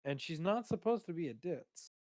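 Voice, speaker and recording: Booming-voiced man rambling in dry sound. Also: animated, normal speed, darkish tone, no echo. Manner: rambling, hesitant with many fillers. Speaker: streamer discussing his game character. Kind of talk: casual chat.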